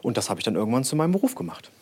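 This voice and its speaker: A man's voice: mid-range voice, big pitch swings, racing, a little mellow, slightly rough. A man who grew up with German Sign Language, giving spontaneous interview answers as an interpreter.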